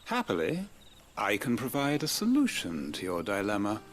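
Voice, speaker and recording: An actor playing a shrewd, dignified tavern owner in a fantasy game, speaking formally with measured, knowing authority. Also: male voice, fairly low pitch, wildly swooping pitch, slight background noise. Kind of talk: video game cutscene acting.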